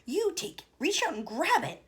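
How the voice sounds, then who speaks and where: A woman voicing an excitable, eccentric male chocolate maker with a showman's exclamatory manner. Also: sits high, fast talker, pitched above the performer's own voice. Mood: excited.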